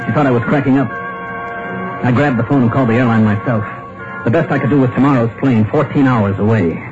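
A man's voice: medium pitch, fast talker, close to an everyday voice. A man playing a panicky husband sure he's marked. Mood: tense narration. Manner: tense, urgent and jittery. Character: frightened husband convinced he'll be murdered. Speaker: narrator and lead character. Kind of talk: 1950s radio drama acting. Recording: dull lo-fi old radio recording with loud music.

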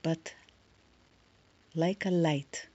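A woman leading a guided meditation in a soft, soothing, breathy voice, leaving long pauses between short phrases. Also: deep voice, steady tone, dull audio.

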